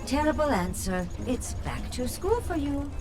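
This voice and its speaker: A surly female robot in a video game, full of exasperated sarcasm and easily cowed. The pitch moves expressively while the words come slowly, with game music playing behind. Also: sing-song. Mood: bored, snide.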